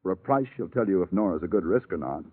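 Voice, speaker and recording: An actor in a vintage radio drama playing a troubled, resentful husband, moody, hesitant and exasperated. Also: male voice, sits low, animated, fast. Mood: bitter, weary. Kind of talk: 1940s-50s radio drama acting. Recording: muffled vintage radio broadcast recording.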